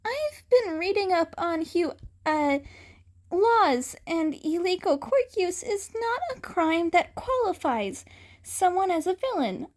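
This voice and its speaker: Woman voicing an earnest, very high-pitched young boy. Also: exaggerated voice. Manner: pedantic, argumentative, emphatic. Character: young boy, earnest bookish defender reciting laws. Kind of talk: fan fiction audiobook character voice.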